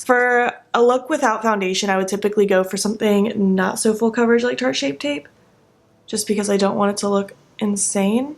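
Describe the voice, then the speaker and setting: Sleepy chatty young woman with vocal fry. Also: a little high. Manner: chatty and rambling, with filler likes. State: sleepy-voiced. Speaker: beauty vlogger. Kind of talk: casual get-ready-with-me chat.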